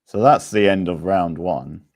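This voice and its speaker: A man's voice: deep voice, a little slow, a little mellow, no room sound, clean studio sound. A man hosting a quiz in a casual, chatty style. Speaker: quiz host.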